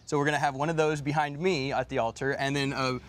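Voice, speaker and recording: A young man, a groom appearing as a guest, talking in a relaxed, easygoing and enthusiastic way on an outdoor TV set with a lapel mic. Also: medium pitch, fast. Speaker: groom guest on a talk show.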